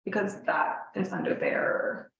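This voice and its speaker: A woman staff planner presenting a formal report to a council, then answering questions in a measured, slightly tentative way. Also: fairly bright tone. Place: council chamber. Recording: very echoey council chamber.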